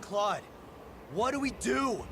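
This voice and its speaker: A man's voice: extremely high pitch, big pitch swings, slow pace, background noise, exaggerated voice. An actor playing a brash, agitated young male soldier. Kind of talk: game voice acting. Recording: game audio with score.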